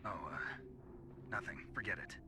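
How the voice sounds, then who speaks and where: An actor playing a gruff, skeptical soldier hero in a video game, speaking in a clipped manner. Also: male voice, normal speed, very noisy, close to an everyday voice. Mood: evasive. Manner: clipped, low, questioning. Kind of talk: dramatic video game voice acting.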